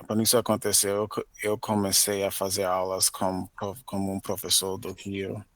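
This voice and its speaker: Low flat-voiced American man. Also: no room sound, low-quality recording. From United States (Maryland). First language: English. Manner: careful learner's speech with frequent "ah" pauses. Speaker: interview guest, Portuguese learner. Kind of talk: podcast interview.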